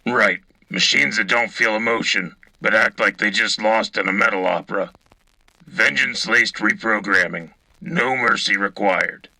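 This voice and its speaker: Man playing a gravelly, forceful, sardonic soldier radio host. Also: on the low side, normal speed, dry recording, full character voice, rough recording. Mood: sardonic, grim. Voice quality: gravelly, husky. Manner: sardonic, blunt. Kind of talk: in-character military radio commentary.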